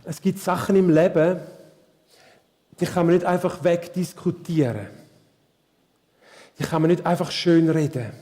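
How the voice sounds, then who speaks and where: A man with a Swiss German accent preaching a live sermon, asking the congregation questions in a slow, searching way. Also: on the high side, dark tone. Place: church hall. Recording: reverberant church hall.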